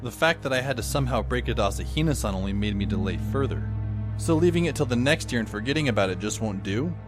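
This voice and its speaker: Guy playing sardonic high school boy telling his story, audio drama, expressive pitch, light music under it. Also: quick pace, natural voice. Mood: wry, pondering. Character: wry, brooding teenage schoolboy narrating his own story. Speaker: lead actor and narrator. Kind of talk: first-person audio drama narration. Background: faint background music.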